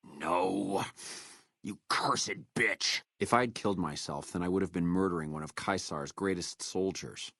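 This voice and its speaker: Casting: proud captured legion officer for a game, very low voice, contemptuous and threatening, dry close sound. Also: male voice, fairly bright tone, no echo. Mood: furious, then bitter, proud. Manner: contemptuous, measured, menacing delivery. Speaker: video game voice actor. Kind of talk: video game character dialogue.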